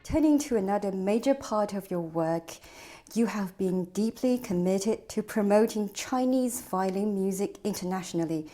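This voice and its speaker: A woman interviewer asking prepared questions in a measured, formal way. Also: mid-range voice, mellow, soft background music.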